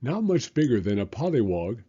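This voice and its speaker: A man's voice: medium pitch, big pitch swings, normal speed, mellow, husky, lo-fi sound. A man voicing a cheerful, kindly frog telling his friend a childhood memory in an earnest, lilting way. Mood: earnest reminiscing.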